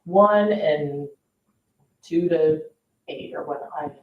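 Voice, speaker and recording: A woman's voice: sits low, slow, muffled recording. Woman explaining in an echoey meeting room. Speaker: parks staff member at a board meeting. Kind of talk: informal meeting discussion. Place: indoor public meeting room. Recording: echoey meeting room, distant mic, faint noise.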